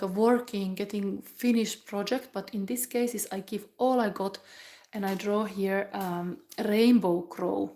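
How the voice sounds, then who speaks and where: A woman from Finland with a Finnish accent, giving a rambling, musing tarot reading in English that often drops to a soft whisper. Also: medium pitch. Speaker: tarot reader. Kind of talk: live pick-a-pile tarot reading chat.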